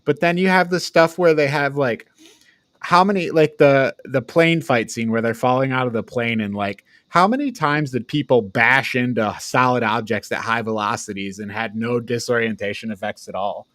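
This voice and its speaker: A man's voice: mid-range voice, moderate pace, fairly bright tone, dry recording, studio quality. A man co-hosting a podcast, giving a casual, opinionated film review and often searching for his words. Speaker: podcast co-host.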